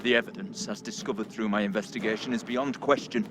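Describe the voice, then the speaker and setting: An actor playing a self-righteous, accusing Puritan reverend in a video game, preaching in sanctimonious, archaic oratory. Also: male voice, fairly low pitch, steady tone, quick pace, music in the background, close to an everyday voice. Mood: grave, accusing. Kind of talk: dramatic video game acting.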